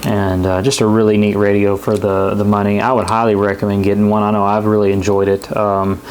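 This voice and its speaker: A man's voice: fairly low pitch, completely flat delivery, a little fast, darkish tone. A man giving a casual, unscripted hands-on product review in an easygoing, rambling way. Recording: clear radio static and noise behind. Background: shortwave radio static and stations.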